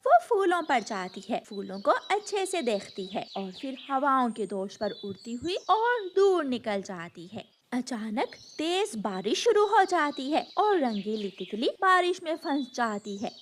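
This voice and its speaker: High, lively woman storyteller. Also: a little slow, few pauses, slight background noise. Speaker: narrator. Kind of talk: scripted children's story narration.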